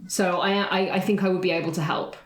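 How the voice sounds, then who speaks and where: A woman playing a calm, tactful agent who speaks carefully and reassuringly in a tabletop game. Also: on the low side, quick pace, reverberant, natural voice.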